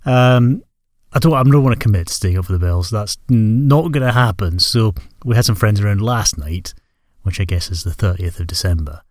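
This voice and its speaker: A middle-aged Scottish man hosting a music show in casual chat, his voice a little croaky, talking in a relaxed, hesitant way. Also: lowish voice, moderate pace, dry recording, studio quality. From Scotland. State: slightly croaky voice. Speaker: radio show host.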